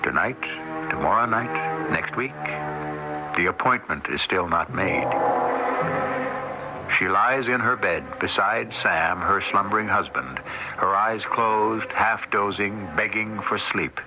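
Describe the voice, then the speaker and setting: A man hosting a radio mystery series, narrating in a grave, deliberate, theatrical old-time style. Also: fairly low pitch, poor audio. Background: music.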